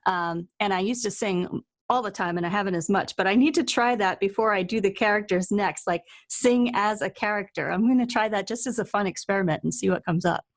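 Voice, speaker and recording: A woman's voice: medium pitch, brisk. A woman hosting a casual interview, talking in a chatty, conversational way. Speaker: interview host and author.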